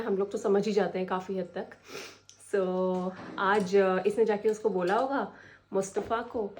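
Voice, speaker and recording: A woman narrates her vlog in a relaxed, chatty way. She drifts between languages mid-sentence, sharing small family updates in an easy conversational tone. Her voice sits at an ordinary middle pitch. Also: a little hiss or hum. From India. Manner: chatty, mixes in English phrases. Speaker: vlogger.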